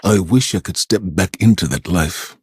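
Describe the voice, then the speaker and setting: A man voicing a wistful, tender, grieving soldier in a video game, very husky and breathy. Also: low-pitched, moderate pace, darkish tone, no echo. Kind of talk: dramatic video game voice acting.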